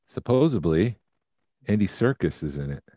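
A man co-hosting a podcast, chatting casually and thinking out loud about films. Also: low voice, very animated, normal speed, no room sound, clean studio sound. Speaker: podcast co-host.

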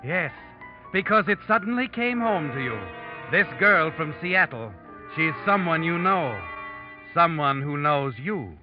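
Ominous, higher-pitched radio suspense narrator. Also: male voice, lively intonation, a little slow, lo-fi sound. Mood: ominous, taunting. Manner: insinuating, taunting, dramatic. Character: ominous, knowing narrator taunting a guilty man. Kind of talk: 1940s radio suspense narration, addressing the protagonist in second person. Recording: vintage radio recording, dramatic music behind.

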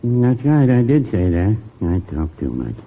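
An actor playing a mild, evasive, henpecked older shopkeeper, hesitant and apologetic, in 1950s radio comedy style. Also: male voice, on the low side, sing-song, unhurried, narrow, tinny audio. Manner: hesitant, mealy-mouthed, apologetic. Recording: thin, hissy old radio broadcast.

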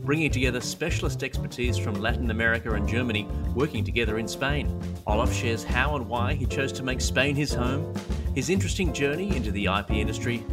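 An Australian man hosting a podcast, reading a scripted intro and then chatting in a friendly, jokey way. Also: mid-range voice. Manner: friendly, jokey, laughs easily. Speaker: podcast host. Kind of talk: scripted intro and casual interview chat.

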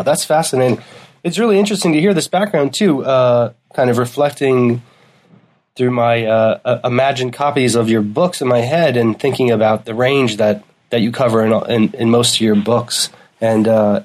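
A man's voice: mid-range voice, normal speed, a little mellow. Curious male interviewer. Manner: curious, engaged questioner. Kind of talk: interview questions.

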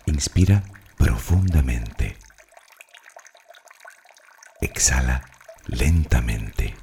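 A man with a rock-bottom, mellow voice leads a guided meditation. He delivers affirmations slowly and soothingly, leaving long pauses. His tone is dark and gravelly. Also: extremely low pitch, expressive, a little hiss or hum.

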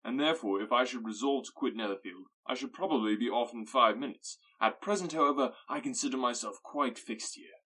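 A man voicing an eager, cheerful young gentleman with a lively, earnest manner, in an audiobook. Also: mid-range voice, fairly quick, breathy edge, rough recording.

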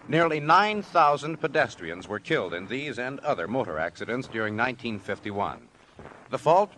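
Male 1950s announcer, formal and measured, wide pitch movement, light noise on old recording. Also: fairly bright tone. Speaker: radio announcer. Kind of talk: 1950s radio announcing, scripted. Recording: faintly noisy old radio recording.

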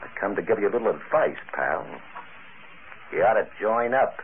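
An actor playing a menacing racketeer in an old radio crime drama, talking in tough, slangy threats. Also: male voice, on the low side, expressive, moderate pace, natural voice. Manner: tough, slangy, threatening wise-guy drawl. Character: menacing slangy gangland racketeer, adult man. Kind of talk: 1940s radio crime acting. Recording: tinny, noisy old radio recording.

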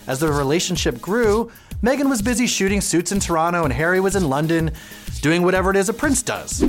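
A man's voice: high voice, lively intonation, a little fast. A man co-hosting a podcast, narrating celebrity gossip with an arch, playful, campy manner. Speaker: podcast co-host, celebrity writer. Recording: music bed under the voice.

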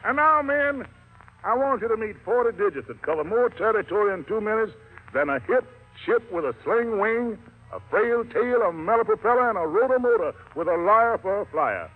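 A high-voiced American man works as a 1940s radio emcee, swinging widely in pitch. He rolls out showy rhyming slang in long, wordy announcements, heard through a muffled vintage broadcast. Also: lively intonation, moderate pace, faint music behind. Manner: showy, wordy patter full of rhymes and slang. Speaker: radio show host.

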